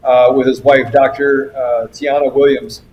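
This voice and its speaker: A man's voice: medium pitch, little pitch movement, a little slow, a little mellow, poor audio. American male show host with faint noise. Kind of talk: conversational guest introduction.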